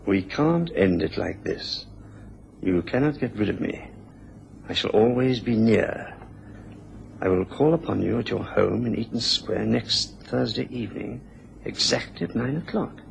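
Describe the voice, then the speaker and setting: A man's voice: fairly low pitch, animated, measured pace, creaky, slight background noise, heavily acted, low-quality recording. An actor playing a proud, defensive Englishman of influence in a radio drama, stiff and guarded in manner. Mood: uneasy, shaken.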